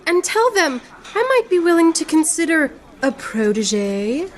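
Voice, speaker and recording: A woman playing a proud, witty, sharp-tongued swordswoman poet in theatrical radio drama. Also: very high-pitched, sing-song, a little slow, crowd noise, exaggerated voice. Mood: proud, defiant. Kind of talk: radio theatre acting in rhymed verse.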